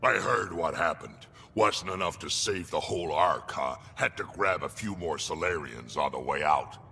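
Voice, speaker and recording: A man playing a gruff, bitter old alien warrior with a gravelly voice in a video game. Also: low-pitched, animated, moderate pace, bright, forceful tone, long reverb tail, a little hiss or hum, heavily acted. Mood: bitter, accusing.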